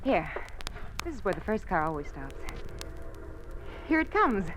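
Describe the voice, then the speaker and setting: An actress playing an earnest, dutiful young party-loyal daughter, insistent and efficient, in a 1950s radio drama. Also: female voice, medium pitch, sing-song, a little slow, fairly bright tone, faint music behind. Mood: insistent, eager. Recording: noisy old 1950s radio broadcast with clicks.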